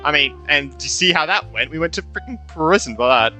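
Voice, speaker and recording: Bright, animated young man gaming. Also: fairly high pitch, brisk. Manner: reactive, rambling, sweary. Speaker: gamer, streamer. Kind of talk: casual gameplay commentary. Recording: faint game music behind.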